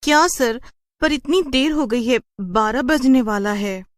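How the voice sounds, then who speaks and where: High, fretful young wife, played by a woman. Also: measured pace, no echo, clean studio sound. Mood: complaining, weary. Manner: exclaiming, fretful. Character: anxious, complaining young wife working at a pizza shop. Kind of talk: animated cartoon story voice acting.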